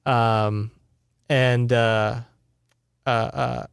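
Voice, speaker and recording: A man's voice: medium pitch, level tone, extremely slow, vocal fry, clear, resonant tone, no echo, clean studio sound. A man hosting a podcast, casually recapping a story with sarcastic, exasperated, sweary asides. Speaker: podcast host. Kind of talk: casual recap of a horror novel.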